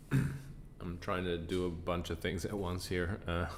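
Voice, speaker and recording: Croaky, deep-voiced tired man. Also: takes it slowly, slight background noise. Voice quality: croaky, deep from sinus trouble. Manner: relaxed, answers chat questions. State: tired, up a long time. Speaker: livestream trading host. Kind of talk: casual live-stream chat.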